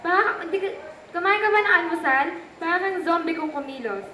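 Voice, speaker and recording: A woman's voice: extremely high pitch, a little fast, sharp tone. A woman voicing a strict female schoolteacher with a Filipino accent in an amateur student puppet show. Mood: scolding. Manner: scolding, questioning. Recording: echoey room recording with background noise.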